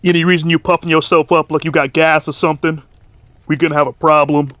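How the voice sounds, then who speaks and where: A man playing a big, gruff, suspicious dragonborn blacksmith in a fantasy audio drama, blunt and streetwise. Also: on the high side, level tone, fast talker, a bit bright, close to an everyday voice. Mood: hostile.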